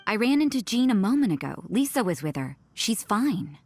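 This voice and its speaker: A woman voicing a cool, stern young nun in a video game, curt, deadpan and composed. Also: on the high side, moderate pace. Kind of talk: English video game voice acting.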